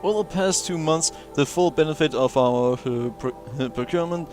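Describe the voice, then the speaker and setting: A man's voice: on the high side, normal speed, a little mellow. A man playing through a video game, reading in-game logs aloud and stumbling over words, with many "uh" fillers and small chuckles. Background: faint game music.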